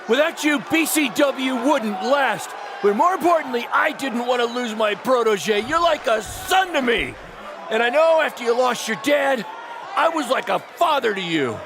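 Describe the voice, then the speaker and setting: A guilt-ridden mentor in a wrestling game. His very high-pitched voice is raised and pleading, with a loud, noisy crowd around him. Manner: pleading, impassioned. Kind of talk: video game cutscene acting. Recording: shouted over noisy arena crowd.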